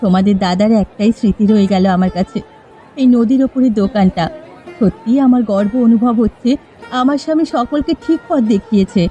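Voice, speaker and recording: A woman's voice: mid-range voice, brisk, warm tone, highs cut off, soft background music, natural voice. A woman playing an earnest, forgiving village widow in a folk-tale cartoon. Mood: sorrowful. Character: grieving but proud, forgiving village widow.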